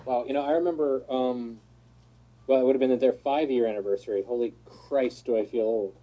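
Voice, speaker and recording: A man's voice: medium pitch, brisk, highs cut off. A man in his thirties on a casual panel, talking eagerly and jokily, often stumbling over his words. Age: 30s.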